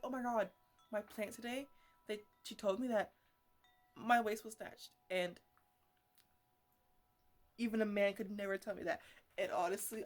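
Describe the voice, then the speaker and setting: A woman's voice: medium pitch, close to an everyday voice. A woman playing a teasing, slangy botanist who chats to her plants in a casual ASMR roleplay. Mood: excited, defensive. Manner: rambling, bantering, slangy.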